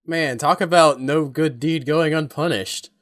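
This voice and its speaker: A male podcast co-host with a moderately high-pitched voice. He speaks in a casual, conversational way, reacting to the discussion and putting questions to his partner. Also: measured pace, a bit bright.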